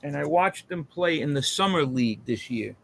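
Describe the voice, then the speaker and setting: A man's voice: fairly high pitch, animated, moderate pace, creaky, fairly bright tone. An American man in casual chat on a live hangout, with a slangy, profane way of talking.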